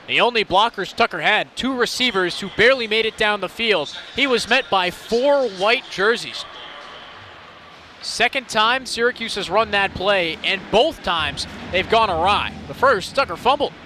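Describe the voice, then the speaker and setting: A man's voice: sits high, animated, moderate pace, sharp tone, a little hiss or hum. A young man giving live radio football analysis, explaining and recapping plays in descriptive phrases. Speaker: color analyst.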